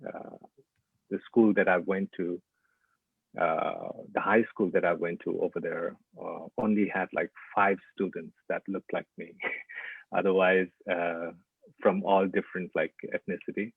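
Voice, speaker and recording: A man from Nepal walks through his artwork in a slow, halting way, pausing on "uh" and "like". His voice sits very low, with a gravelly and husky grain. The sound is thin and boxed in, like a dry phone line. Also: flat delivery, dry recording. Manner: halting, full of "uh" and "like" fillers. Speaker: artist presenting his work. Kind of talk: informal lecture with slides. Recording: tinny, dry phone-like audio.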